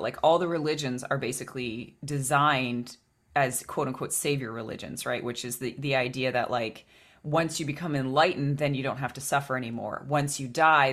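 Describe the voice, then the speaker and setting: A woman with a low voice and vocal fry, talking through spiritual ideas in a reflective podcast chat with many restarts.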